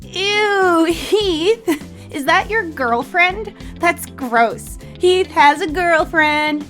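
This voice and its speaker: A woman voicing a rowdy, rude young boy in an audio story, loud and mocking. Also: very high voice, expressive, very slow, faint music behind, pitched above the performer's own voice, full character voice. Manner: jeering, loud.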